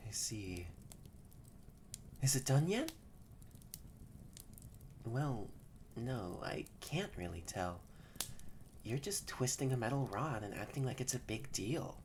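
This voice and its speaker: A bratty tsundere catboy played by a woman in a roleplay audio drama, pitched very low and swinging up and down in lively curves. He talks at an unhurried pace, bossy and easily flustered with a soft heart underneath, over a noisy background. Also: full character voice. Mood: haughty, curious. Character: haughty, tsundere young male catboy, secretly soft-hearted. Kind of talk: scripted roleplay audio drama, addressed to the listener.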